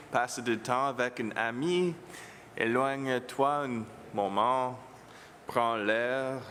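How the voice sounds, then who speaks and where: A priest whose first language is English gives a homily in French with an English accent, speaking very slowly and haltingly at a middling pitch. He slips English words into his sentences. His voice carries a long church reverb over a faint room ambience. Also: a bit bright, a little hiss or hum. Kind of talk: homily with children.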